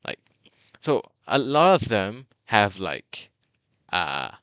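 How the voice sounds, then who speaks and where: A young man from Burma talks through a beginner's lesson in a low, bright and crisp voice, dotted with fillers and restarts. The sound is dry and close, with no room around it. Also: sing-song, slow pace, no room sound. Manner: chatty, many fillers and restarts. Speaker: language tutor. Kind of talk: casual video lesson.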